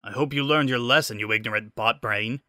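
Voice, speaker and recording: A man acts out an elderly male hedgehog mechanic from an audio story. He is gruff and paternal, giving orders and scoldings in a mid-pitched, clean, dry recording. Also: a little fast, sharp tone, dry recording.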